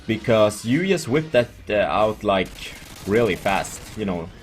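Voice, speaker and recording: A man's voice: lowish voice, animated, a little slow, faint music behind. A man from Sweden with a Swedish accent gives casual, rambling and jokey commentary over gameplay. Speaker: gaming video creator.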